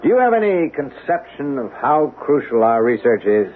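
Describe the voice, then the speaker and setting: An actor playing a proud military colonel who runs a secret project, speaking in a formal, assertive, officious way in 1970s radio drama. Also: male voice, mid-range voice, big pitch swings, normal speed, phone-quality sound, natural voice. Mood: defensive. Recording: faintly noisy, band-limited 1970s radio recording.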